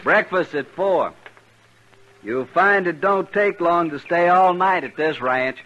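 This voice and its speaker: An American actor playing a gruff, plain-spoken trail boss in a 1950s western radio drama, blunt and folksy with dry humour. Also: male voice, sits high, takes it slowly, a bit bright. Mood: wry. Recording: noisy, muffled old radio recording.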